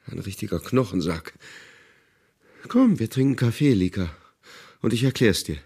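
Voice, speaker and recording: A concerned, curious man in a conversational radio drama scene. His voice is fairly low and noticeably husky, and he talks in a familiar, reassuring manner. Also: lively intonation, moderate pace, darkish tone. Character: concerned, curious man, close friend of the diarist.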